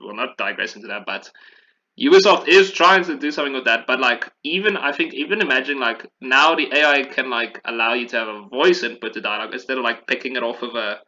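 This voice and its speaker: A man's voice: medium pitch, fast, bright, forceful tone, dull audio. A man chatting casually as a podcast host, rambling and correcting himself as he talks. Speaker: podcast host discussing video games. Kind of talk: casual chat.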